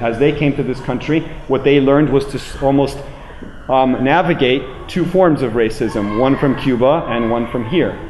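American guy giving a talk, deliberate and emphatic, echoey room, bit of background noise. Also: mid-range voice, darkish tone. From United States. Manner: emphatic, deliberate, repeating phrases for weight. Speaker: public speaker. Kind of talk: public lecture. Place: indoor room with plain walls, speaking to an audience. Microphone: handheld microphone, later a small clip-on mic. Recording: roomy echo, clear background noise.

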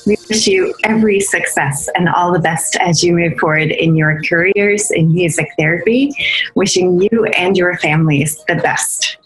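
A woman's voice: fairly low pitch, moderate pace, faint music behind, poor audio. A middle-aged woman, a faculty member, giving a scripted congratulatory message on a video call from home. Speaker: music therapy faculty. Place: home study.

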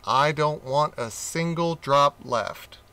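A man's voice: on the high side, takes it slowly, pushed and bright, full character voice. A man doing a proud gorilla king. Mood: grand.

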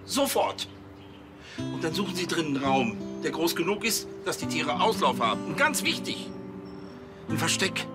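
An actor playing a bossy, indignant police chief in a crime comedy, fussy and exasperated. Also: male voice, on the high side, very animated, normal speed. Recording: music behind the voice.

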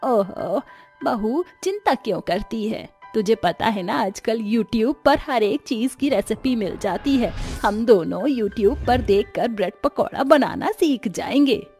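A woman's voice: fairly high pitch, lively intonation, slow pace, a little mellow, faint music behind. A woman voicing an older, practical village mother-in-law in a cartoon story, chiding and exclamatory. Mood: reassuring. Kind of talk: Hindi cartoon story acting.

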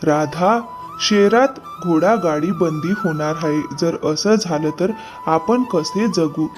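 A man's voice: on the high side, slow pace. A man playing a poor, earnest, troubled horse-cart driver and father in a cartoon moral story. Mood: troubled, resolute. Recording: music behind the voice.

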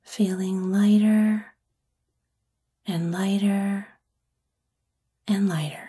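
A woman with a soft, breathy, whispery voice gently and soothingly guides a sleep meditation in an ASMR-like style. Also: medium pitch, steady tone. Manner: gentle, soothing, unhurried phrasing.

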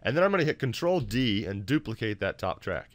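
A man hosting a software tutorial in an informal, self-deprecating, explanatory way, recorded in a home office. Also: fairly low pitch, big pitch swings, brisk, no room sound. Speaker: tutorial host.

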